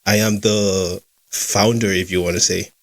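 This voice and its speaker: A young Scottish man hosts a podcast in an easygoing, chatty way. His voice sits on the low side, and he fills gaps with small fillers. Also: level tone, a little slow. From Scotland. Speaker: podcast host and founder. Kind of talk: casual chat.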